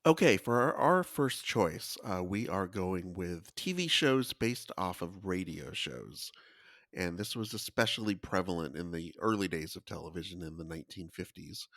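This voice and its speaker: A man's voice: deep voice, lively intonation, normal speed, a bit bright, dry recording, studio quality. A man giving explanatory podcast commentary in a conversational style, as a panelist.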